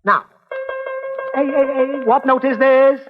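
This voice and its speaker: A man's voice: extremely high pitch, fairly even intonation, takes it slowly, over music. An actor playing an exasperated, long-suffering father in an old-time radio comedy, pleading theatrically. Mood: strained patience. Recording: muffled vintage radio recording.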